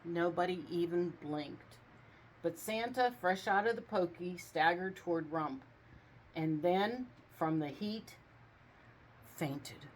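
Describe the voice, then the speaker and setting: A woman reading a storybook aloud in an expressive storytelling style, with casual asides. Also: fairly low pitch, slow pace, slight background noise, low-quality recording. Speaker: storybook reader.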